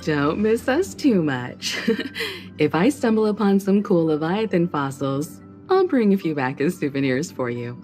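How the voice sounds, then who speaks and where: A woman voicing a poised, mature crew leader who speaks calmly and with gentle authority in a game cutscene. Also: low-pitched, unhurried. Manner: calm, composed, gently authoritative. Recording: game cutscene with faint music.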